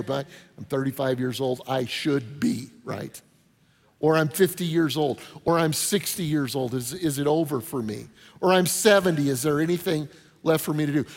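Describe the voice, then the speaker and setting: A man preaching a conversational sermon as a pastor, with rhetorical questions, punchy short phrases and informal asides. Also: fairly high pitch. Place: large indoor hall before an audience. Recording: reverberant hall with long echo.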